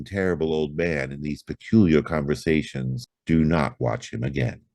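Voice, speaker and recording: Low, expressive man narrating horror. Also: dry recording. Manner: theatrical, expressive storytelling. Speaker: audiobook narrator. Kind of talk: dramatic scripted narration of a horror story.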